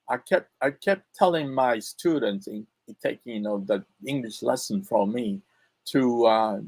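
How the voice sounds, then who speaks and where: Japanese guy w japanese accent, english coach, talks slow n halting, lots of uhs, dry close mic. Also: medium pitch, no echo. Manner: halting, many fillers and restarts. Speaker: pronunciation coach. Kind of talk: casual spoken lesson.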